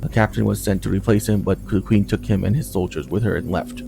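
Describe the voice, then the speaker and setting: A man reading aloud the game dialogue of a talkative, gruff soldier, in blunt colloquial speech. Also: low-pitched, fast, mellow, soft background music. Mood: blunt gossip.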